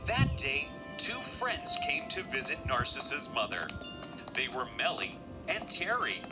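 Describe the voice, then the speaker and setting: A man's voice: fairly high pitch, big pitch swings, a little slow, over music. A man narrating a children's fairy tale as a scripted storyteller.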